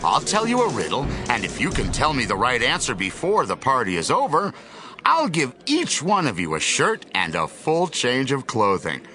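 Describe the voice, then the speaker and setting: A man playing a brash, stubborn young strongman in an audio drama, with bold, drawn-out, swaggering lines. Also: medium pitch, huge pitch swings, sharp tone, faint music behind, full character voice. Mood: boastful, teasing. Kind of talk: audio drama Bible acting.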